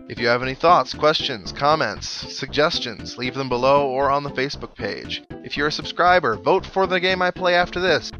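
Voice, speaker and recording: A man with a medium-pitched voice gives casual commentary on a game he is playing. He is wry and dry-humoured, and game music plays clearly behind him. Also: dull audio. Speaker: host of a gaming playthrough series. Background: video game music.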